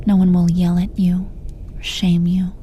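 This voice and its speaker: A woman playing a menacing yet tender, possessive supervillain in an intimate audio roleplay, speaking in a sultry, deliberate way with pet names for the listener. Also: fairly low pitch, little pitch movement, dark tone, slight background noise, close to an everyday voice. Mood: intense hushed tenderness.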